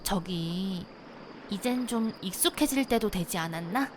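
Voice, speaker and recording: A woman acting a teasing, bossy young investigator in a visual novel, expressive and quick to scold, with rain and insects behind her. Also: medium pitch, noisy background, close to an everyday voice.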